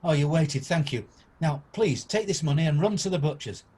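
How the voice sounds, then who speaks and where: An actor playing an elderly reformed miser, excitable and flustered, newly generous, in an amateur radio play. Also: male voice, a little high, moderate pace, background noise, natural voice. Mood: eager, generous. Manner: exclamatory, flustered, eager.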